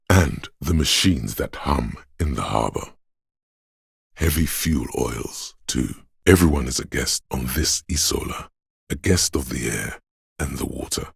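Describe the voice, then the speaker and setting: Very deep, gravelly, brooding mystical voice. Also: male voice, no echo, clean studio sound, heavily acted. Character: brooding, mystical inner voice sensing the city and wind. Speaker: video game voice actor. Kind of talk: scripted poetic second-person game narration.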